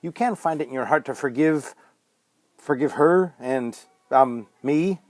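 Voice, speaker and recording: A man voicing an affable, bumbling gentleman in a storybook, with polite, hesitant, old-fashioned clipped phrasing. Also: on the high side, unhurried, no room sound, voice pushed up, lightly acted. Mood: apologetic, affable. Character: affable, bumbling, well-mannered English gentleman stranger, chatty.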